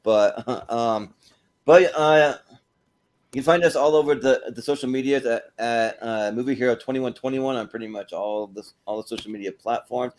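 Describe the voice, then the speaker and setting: A man hosting a movie panel show, chatting casually in a halting way and repeating words as they go. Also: mid-range voice. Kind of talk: casual panel chat and sign-off plugs.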